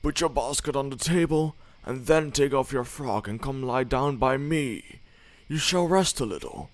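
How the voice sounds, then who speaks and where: A man's voice: medium pitch, moderate pace, pitched above the performer's own voice, natural voice. A man doing a sly, hungry wolf. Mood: wheedling. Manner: crafty, wheedling, rising to loud growls. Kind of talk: storybook read-aloud.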